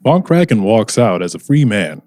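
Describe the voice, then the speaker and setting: A man's voice: on the low side, sing-song, moderate pace, darkish tone, dry recording, studio quality. A man playing the dramatic narrator of a scripted audio serial, building suspense. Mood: suspenseful.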